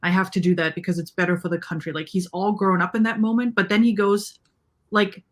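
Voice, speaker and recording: A woman's voice: medium pitch, flat delivery, a little fast. A woman talking casually and conversationally as a panelist in an online book discussion. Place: indoors on a livestream video call.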